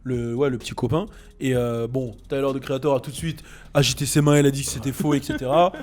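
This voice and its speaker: A French man with a French accent, hosting a casual chat about rap, relaxed and full of fillers and restarts. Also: medium pitch, fast. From France. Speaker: podcast host.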